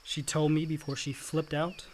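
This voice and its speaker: A man's voice: a little high, level tone, normal speed, a little mellow, a little hiss or hum, natural voice. A man acting an earnest, dutiful young adult son, the family peacemaker, in a naturalistic radio play. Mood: calm.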